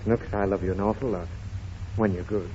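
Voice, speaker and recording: A man playing an affectionate, gently exasperated father with a coaxing, hesitant manner in a 1930s radio comedy. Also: lowish voice, fairly even intonation, a little fast, close to an everyday voice. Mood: moved, fond. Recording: scratchy, muffled 1930s radio recording.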